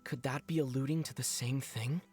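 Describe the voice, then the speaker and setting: An actor playing a thoughtful, serious man in a video game, speaking in a measured, articulate way. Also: medium pitch, steady tone, a little mellow, husky. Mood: grave, pondering. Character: thoughtful, grave man piecing together a prophecy. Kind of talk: scripted video game dialogue. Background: game music.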